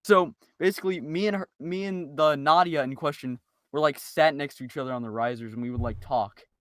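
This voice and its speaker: A man's voice: fairly high pitch, a little fast, a bit bright, dry recording. A man chatting casually on a group podcast, telling anecdotes in slangy, informal language. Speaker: podcast member. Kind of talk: casual group chat, storytelling.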